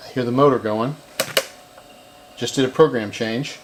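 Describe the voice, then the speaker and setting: A man's voice: medium pitch, normal speed, background noise. A man giving a casual hands-on repair walkthrough, unscripted and thinking aloud as he works. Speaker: repair channel host.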